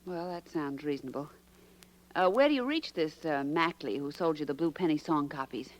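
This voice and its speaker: An actress playing a brisk, sardonic secretary turned sleuth in 1940s radio style. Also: female voice, sits low, lively intonation. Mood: brisk, probing. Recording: noisy old radio recording.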